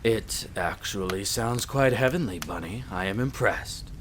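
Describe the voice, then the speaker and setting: A man playing a courteous gentleman of a vampire castle, with polite, formal, old-fashioned phrasing, in a scripted roleplay drama. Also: on the low side, animated, measured pace. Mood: pleased, gracious. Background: ambience, crackling fire.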